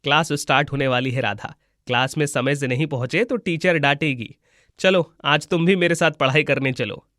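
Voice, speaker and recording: A man voicing a gentle, wise young god disguised as a schoolboy, calm and reassuring, in a moral-story cartoon. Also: on the high side, normal speed, dry recording, clean studio sound, pitched below the performer's own voice, lightly acted. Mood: kindly.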